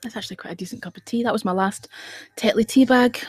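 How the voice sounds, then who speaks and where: A woman's voice: fairly high pitch, fast talker, darkish tone, breathy edge, faint music behind. A middle-aged woman hosting a casual livestream, rambling and chatting in reply to viewer comments. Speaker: radio show host on a livestream.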